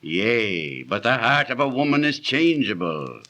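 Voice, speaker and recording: An actor playing a shady, suspicious trader of ancient Babylon, speaking slowly in formal archaic radio-drama style. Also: male voice, mid-range voice, very animated, bright, forceful tone. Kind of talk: 1930s radio acting, archaic speech. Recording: old 1930s radio transcription recording.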